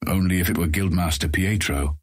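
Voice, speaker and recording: A man playing a cold, stern ranger in a fantasy audiobook, speaking in a flat, husky voice with curt, formal commands. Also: deep voice, a little fast, no room sound, studio quality. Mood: gruff, composed. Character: cold, stern ranger guarding the road, older than Raylan. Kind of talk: full-cast fantasy audiobook acting.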